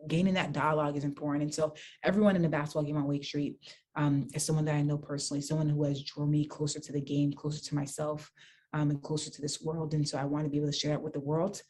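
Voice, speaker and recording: A young woman talks on a video call in a flat, level voice with little rise and fall. She is earnest and reflective, often pausing on an um and letting phrases soften at the ends. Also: deep voice. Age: young adult. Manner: earnest, reflective, with many ums and soft trailing phrases. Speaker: guest, author and basketball nonprofit founder. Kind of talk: virtual interview conversation.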